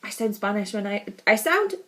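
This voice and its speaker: A woman's voice: mid-range voice, sing-song, normal speed, pushed and bright. A young woman with a Scottish accent, chatting casually and bubbly to camera in her vlog, laughing often. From Scotland. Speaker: vlogger.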